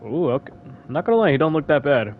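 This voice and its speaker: A man's voice: a little high, sing-song, quick pace, phone-quality sound, slight background noise. A man giving casual, offhand commentary as he plays a game, half muttering to himself. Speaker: gamer playing through a story quest.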